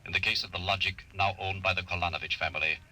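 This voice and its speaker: Deep solemn old radio sci-fi narrator, steady and forceful, bit rough. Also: male voice, heavily acted, low-quality recording. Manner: solemn, deliberate. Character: grave male narrator of a science fiction tale. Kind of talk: 1950s radio drama narration.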